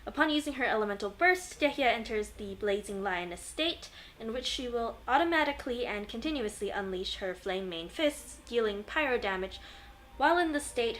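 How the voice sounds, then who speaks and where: A woman's voice: medium pitch, a bit bright, slight background noise, low-quality recording. A woman streaming a video game with casual commentary, playful and given to rambling asides to herself. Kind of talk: casual gameplay commentary, reading game text aloud.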